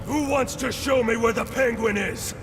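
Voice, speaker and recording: An actor voicing a grim, menacing masked vigilante in a video game, with a gravelly growl and terse, intimidating threats. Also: male voice, extremely high pitch, normal speed. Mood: threatening. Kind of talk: video game cutscene acting. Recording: loud music over the voice. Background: loud cinematic score.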